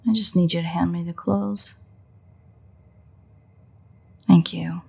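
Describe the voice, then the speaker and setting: A woman acts out a playful vampire woman with a lowish, dark, relaxed voice. She is sardonic and flirty, with plenty of vocal fry. The delivery is intimate audio roleplay, free-spirited and foul-mouthed. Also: moderate pace. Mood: dry, mildly annoyed. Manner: teasing, sarcastic, flirtatious, swearing.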